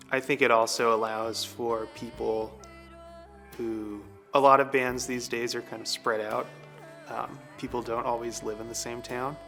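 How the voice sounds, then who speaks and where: A man speaks in a casual documentary interview, keeping a flat, matter-of-fact tone. His voice has a gravelly edge, and soft guitar music plays underneath. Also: mid-range voice.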